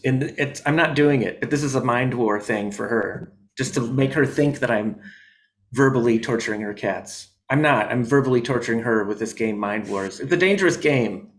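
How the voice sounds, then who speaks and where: A man as a comedian guest in a casual video-call interview, rambling with deadpan jokes and many fillers. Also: mid-range voice. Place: his home in New York.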